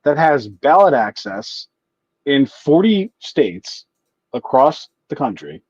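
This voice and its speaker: A man's voice: medium pitch, big pitch swings, takes it slowly, husky, no room sound, dull audio. A man in his thirties calling in, arguing politics with pointed rhetorical questions. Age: 30s. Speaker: caller. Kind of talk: political argument on a call-in.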